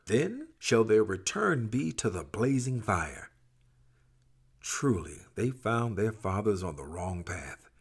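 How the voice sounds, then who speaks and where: A man giving a solemn, dramatic scripture reading in a measured, expressive, formal manner. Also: lowish voice, slightly rough. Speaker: scripture reader.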